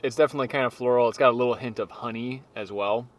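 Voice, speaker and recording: A man's voice: mid-range voice, very fast. A man in his thirties giving casual food reviews as a travel vlogger.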